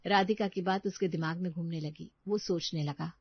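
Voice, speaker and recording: A woman's voice: fairly low pitch, fairly even intonation, normal speed, husky, no room sound, lo-fi sound. A woman reading a scripted moral story as its narrator.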